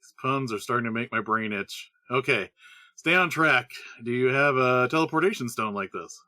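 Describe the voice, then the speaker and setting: A man's voice: mid-range voice, normal speed, sharp tone, dry recording, close to an everyday voice. A man playing an exasperated, practical gnome adventurer in a comedy audio drama.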